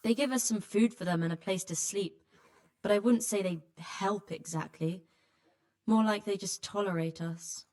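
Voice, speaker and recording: An actress playing a weary, blunt healer who tends refugees, with dry exasperation, in a dramatic video game. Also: female voice, medium pitch, moderate pace, a little mellow, natural voice. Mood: weary, bitter. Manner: exasperated, dry, plain-spoken.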